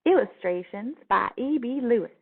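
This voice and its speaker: A woman with a Southern US accent giving an expressive storybook read-aloud as a teacher, telling it in a young girl's words with soft dips at phrase ends. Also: fairly high pitch, moderate pace, creaky, husky. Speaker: teacher reading a picture book aloud. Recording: distant, tinny phone-like sound.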